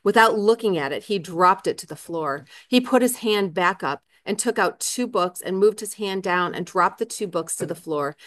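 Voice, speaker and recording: A woman reading a novel aloud in expressive literary narration. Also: fairly low pitch, no room sound. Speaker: reader, author.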